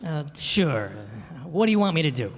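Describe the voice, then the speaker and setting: A man's voice: a little high, very animated, moderate pace. A man voicing a nervous office coworker who hesitates and stammers in a live radio play. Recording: live stage with hall reverb.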